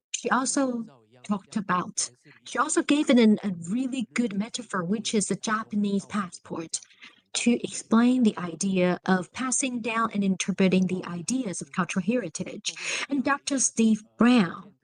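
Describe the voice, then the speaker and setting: A woman speaking as a seminar moderator, giving formal closing remarks in measured, halting phrases. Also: mid-range voice, poor audio.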